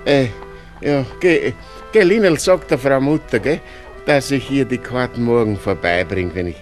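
An actor playing a kindly, flustered older father with a Viennese accent, halting and full of little interjections, in a 1960s radio drama. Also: medium pitch, lively intonation, faint music behind, natural voice.

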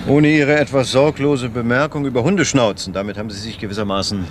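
An actor playing an ambitious, cold-blooded senior prosecutor in a radio crime drama, speaking formally and with condescension. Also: male voice, medium pitch, fast talker, lightly acted. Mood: superior, lecturing. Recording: clear urban outdoor and vehicle noise.

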